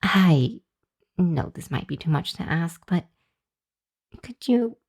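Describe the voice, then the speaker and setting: A woman plays a young female hellhound in an intimate ASMR roleplay. Her voice sits low for a woman and moves slowly. She is earnest but easily flustered, hesitating and halting as she talks to the listener. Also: expressive, darkish tone, heavily acted. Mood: earnest, worried. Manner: hesitant, flustered, halting with sighs and nervous chuckles. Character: shy, earnest young female hellhound demon seeking shelter.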